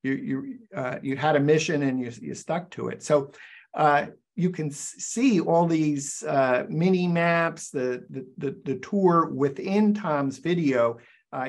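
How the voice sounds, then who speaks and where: Older male host on a webcam call; fairly high voice, halting and full of fillers. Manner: halting, many restarts and fillers. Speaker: show host and forum founder. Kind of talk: video call interview outro. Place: indoors, virtual backdrop. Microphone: webcam on video call.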